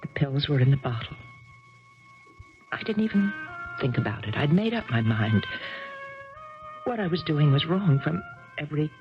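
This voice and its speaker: Casting: weary, bitter sports star woman, dull broadcast sound under soft music. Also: deep voice, sing-song, moderate pace, pauses often. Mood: numb, resolved. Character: despairing tennis star woman, brittle and bitter. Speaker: actress and narrator in radio drama. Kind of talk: 1970s radio drama acting and narration.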